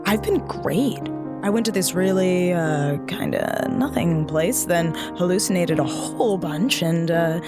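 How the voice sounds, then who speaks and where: A woman's voice: on the low side, sing-song, a little slow. An actress playing a wry, vengeful female captain in an audio drama. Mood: wry, taunting. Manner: sardonic. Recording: music behind the voice.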